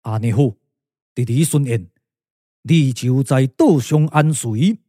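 A man voicing a grave older lord and strategist in a puppet radio drama, speaking slowly and with authority. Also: mid-range voice, dark tone, no echo, clean studio sound, voice pushed down. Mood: grave, commanding. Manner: slow, deliberate, authoritative.

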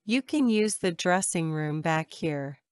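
Clear, low-pitched woman reading drill phrases. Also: lively intonation, no echo, clean studio sound. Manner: measured, careful enunciation. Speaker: English phrase reader. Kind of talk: slow repeated drill phrases.

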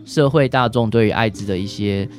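A man's voice: on the low side, brisk, faint music behind. A man with a Taiwanese Mandarin accent, a doctor answering as an interview guest, explaining things in a hesitant way with frequent tag particles.

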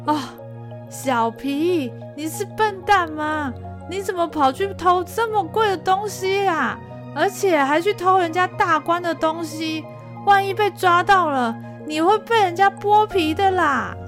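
A woman's voice: extremely high pitch, unhurried, music in the background. A woman voicing a timid, earnest poor young boy in an audio drama, talking in a childlike way. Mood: scolding. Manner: pleading, childlike.

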